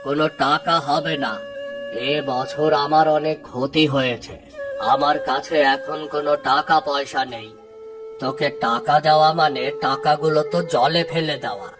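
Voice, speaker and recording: A man's voice: a little high, steady tone, moderate pace, over music, close to an everyday voice. A man voicing a stingy, scornful rich landlord in a Bengali moral cartoon, haughty and brusque. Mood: dismissive.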